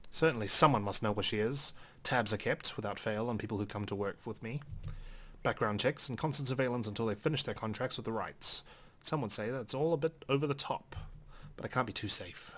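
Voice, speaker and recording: A man's voice: lowish voice, a little fast, fairly bright tone. A man hosting a game playthrough, reading a visual novel's first-person narration in a dry, theatrical way. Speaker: let's play host.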